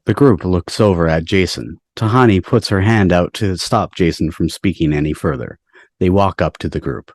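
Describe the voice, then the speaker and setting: A man's voice: sits low, normal speed. A man giving scripted narration of screenplay stage directions on a video call.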